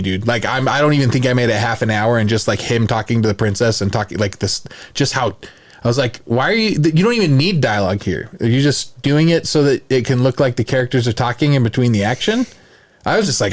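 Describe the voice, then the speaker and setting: A man hosting a podcast, chatting casually about films in an enthusiastic, rambling way. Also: medium pitch. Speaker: podcast host.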